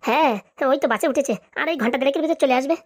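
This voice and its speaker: A woman's voice: sits high, racing, natural voice. A woman voicing a broke, pleading, grumbling husband in a comedy cartoon. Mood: hesitant, sheepish. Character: broke, wheedling husband begging his wife for money.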